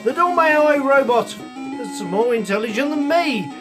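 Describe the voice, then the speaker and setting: A man with a croaky, rather high voice hosts a casual retro computer show from his hobby room. He rambles along chattily, full of ums and asides, as chiptune music plays clearly behind him. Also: lively intonation, measured pace. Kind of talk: casual rambling review chat. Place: home hobby room with computers and a soldering bench. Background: chiptune music playing from a computer.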